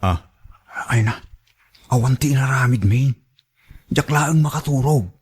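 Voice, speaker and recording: A man's voice: medium pitch, measured pace, darkish tone, husky. A man playing an evasive, placating married cheater in a radio drama, with an Ilocano accent.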